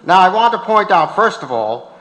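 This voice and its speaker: A man's voice: high voice, very animated, moderate pace, a bit bright. A man playing a defiant, indignant old activist on trial in a staged reading of a court transcript. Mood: measured defiance. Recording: reverberant auditorium.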